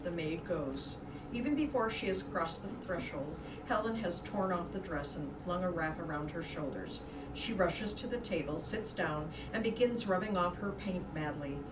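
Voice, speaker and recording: A woman's voice: fairly low pitch, animated, moderate pace. A woman reading stage directions as a scripted narrator for reader's theater. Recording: roomy, distant mic, clearly noisy.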